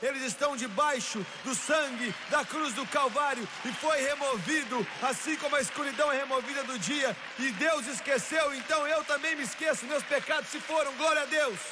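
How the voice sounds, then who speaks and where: Fervent high-pitched shouting male preacher. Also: normal speed, few pauses. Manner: shouting, exultant delivery with emphatic repetition. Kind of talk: fervent revivalist sermon. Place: large reverberant hall with congregation. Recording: echoey hall, noisy crowd behind.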